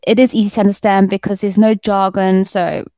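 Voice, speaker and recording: A woman's voice: mid-range voice, level tone, a little fast, warm tone, no room sound. Dry-sounding woman editor, chatty. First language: Afrikaans second language; first language English. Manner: friendly, fluent with frequent ums. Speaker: magazine editor. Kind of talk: casual interview chat.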